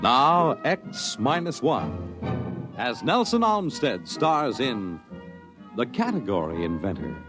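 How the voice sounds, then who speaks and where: A man's voice: mid-range voice, very animated, slow, music in the background. A man announcing a 1950s science fiction radio show in a dramatic, declamatory style.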